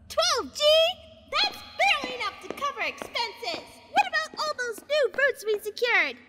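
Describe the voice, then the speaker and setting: Cartoon child mobster: very high, ruthless, swinging wildly in pitch, noisy reverberant recording. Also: heavily acted. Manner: blustering, barking like a gangster. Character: small child playing a ruthless, raging crime boss. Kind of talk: cartoon parody voice acting. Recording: very noisy, clicky, long reverb.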